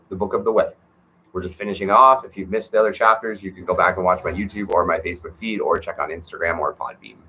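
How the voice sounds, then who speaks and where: A man reading a classic text aloud on his front porch, earnest and gentle, with spiritual asides. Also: medium pitch, slight background noise, poor audio.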